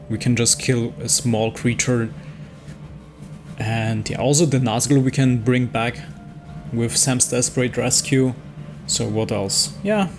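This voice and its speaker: A man giving casual gameplay commentary on a card game, thinking aloud as he weighs his moves a little hesitantly. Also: mid-range voice. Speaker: host playing and commenting on a digital card game. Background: music.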